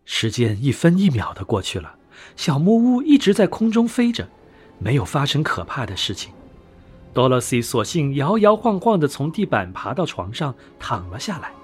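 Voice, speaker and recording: Animated male children's storyteller, swooping pitch. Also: normal speed, husky. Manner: expressive, dramatic storytelling. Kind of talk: bedtime fairy-tale narration for young children. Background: background music.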